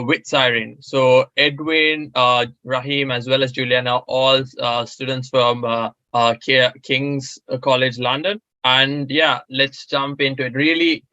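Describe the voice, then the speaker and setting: A man's voice: medium pitch, pushed and bright, no echo, dull audio. A young man hosting a podcast interview in a casual, hesitant way, with frequent fillers and restarts. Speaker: podcast host.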